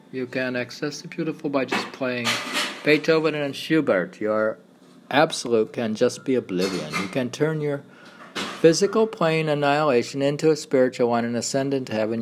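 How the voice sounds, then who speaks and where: A man of medium pitch recites his poetry. He speaks in meditative, declarative phrases broken by pauses. Music plays clearly behind him. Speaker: poet reading own work. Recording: music playing under the voice. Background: background music.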